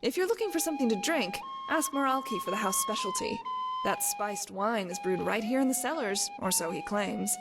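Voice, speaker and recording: A woman acting a wry desert warrior, lively-voiced, music behind. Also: on the high side, fairly quick. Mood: breezy, evasive. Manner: wry, casual, brush-off banter. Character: guarded, breezy desert-born warrior woman grumbling about cold. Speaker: video game character. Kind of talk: video game voice acting. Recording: long reverb, music behind. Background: game music.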